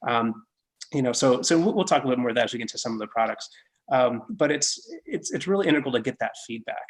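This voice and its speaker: A man from Massachusetts talks on a video call in a gravelly, creaky voice. He rambles and restarts, leaning on fillers as he explains his design work. He has no regional accent. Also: mid-range voice, expressive, quick pace. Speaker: head of product development. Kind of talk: casual video call chat.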